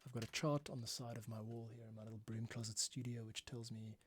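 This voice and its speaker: A man's voice: mid-range voice, little pitch movement, fast, husky. A man hosting a livestream as a composer, casually explaining and thinking aloud as he works. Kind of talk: casual tutorial while working. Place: small home closet studio. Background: music cues playing.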